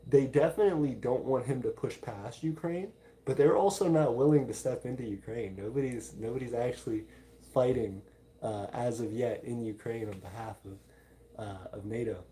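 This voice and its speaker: A man hosting a livestream in a casual, informal chat style, talking haltingly as he reads out and answers viewer comments. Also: medium pitch, warm tone, a little hiss or hum, poor audio. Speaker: livestream host.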